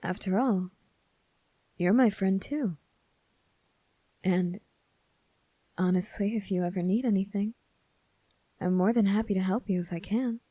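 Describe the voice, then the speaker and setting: Woman as kind young male cadet for ASMR roleplay; relaxed dark tone, gentle and reassuring, very dry sound. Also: medium pitch, a little slow, pauses often, no echo, lightly acted. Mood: warm, caring. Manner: soft, gentle, reassuring, polite. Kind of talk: ASMR character roleplay, spoken to the listener.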